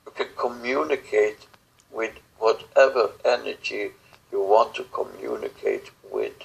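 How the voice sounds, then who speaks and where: Very slow, gravelly man, halting delivery. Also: fairly low pitch, huge pitch swings, poor audio. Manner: halting, solemn, broken phrases with long pauses. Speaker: channeler speaking as star beings in a guided session. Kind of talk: channeled spiritual message.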